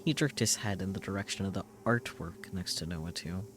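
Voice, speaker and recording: A man streaming a visual novel, reading its narration aloud in a deliberate storytelling way. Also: low voice, a little hiss or hum.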